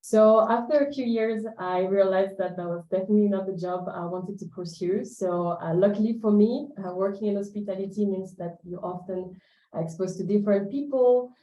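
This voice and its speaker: A woman's voice: medium pitch, moderate pace, mellow, echoey room, muffled recording. A woman from France, a recruiter talking through her career in a webinar, with frequent fillers and restarts. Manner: hesitant, many fillers and restarts. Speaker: guest recruiter. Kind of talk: webinar conversation.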